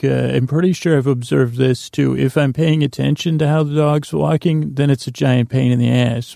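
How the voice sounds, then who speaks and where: A man podcast host with heavy vocal fry and a close, dry sound. He rambles through a personal monologue in a halting way, doubling back on his sentences and voicing bits of imagined conversation. The tone of his voice is dark and relaxed. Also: mid-range voice, no room sound. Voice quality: gravelly, creaky. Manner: halting, meandering, constant false starts and self-interruptions; slips into imagined dialogue.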